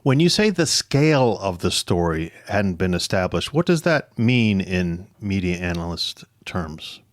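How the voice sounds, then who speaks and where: A man's voice: deep voice, big pitch swings, measured pace, dry recording, studio quality. A man hosting an interview show, asking questions in a conversational way. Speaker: podcast host interviewing experts.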